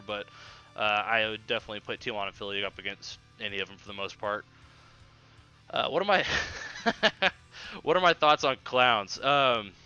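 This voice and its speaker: A man's voice: mid-range voice, pushed and bright, muffled recording. A young man hosting a casual podcast, answering questions in a slangy, rambling way with swearing and a slight occasional stutter. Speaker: podcast host.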